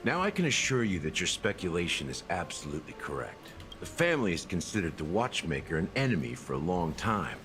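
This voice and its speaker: Low-voiced, wry older investigator. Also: male voice, big pitch swings, fairly quick, few pauses. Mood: confiding. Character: older male family investigator and drink mixer, wry, unhurried. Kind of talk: video game story voice acting. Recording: game music softly behind.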